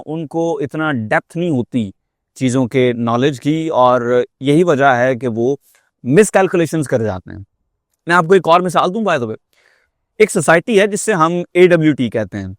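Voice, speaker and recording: Higher-pitched Pakistani man making a persuasive pitch. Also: no echo, clean studio sound. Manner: explanatory, emphatic, mixes in English phrases. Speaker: real estate marketer. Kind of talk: persuasive market update talk to viewers.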